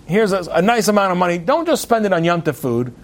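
A man's voice: sits high, big pitch swings, fast. A man playing a kindly, generous relative in a folk tale, coaxing and reassuring as he advises. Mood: encouraging. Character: kindly, generous male relative giving practical advice.

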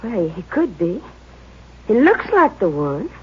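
An American actress playing a hot-tempered, evasive young horsewoman, sharp and defensive, in an old-time radio drama. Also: mid-range voice, sing-song, unhurried. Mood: evasive, nervous. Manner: sharp, defensive, hesitant when pressed. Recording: muffled, noisy old radio recording.

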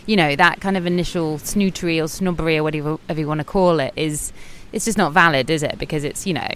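A woman's voice: low voice, fast, a bit bright, slight background noise. A woman hosting an interview, chatty and enthusiastic, with many "you know" and "like" fillers. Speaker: show host, interviewer. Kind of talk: casual interview questions. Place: outdoors on a beach.

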